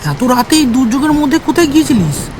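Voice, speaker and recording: Man playing a high-pitched, concerned young man. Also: big pitch swings, quick pace, noisy background. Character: concerned young man welcoming his friend.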